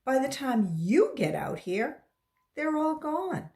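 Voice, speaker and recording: A kindly schoolyard man from a children's storybook, as a woman reads him. He is patient and reassuring, with a lively, moving pitch. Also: slow, exaggerated voice. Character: kindly easygoing male schoolyard ball keeper.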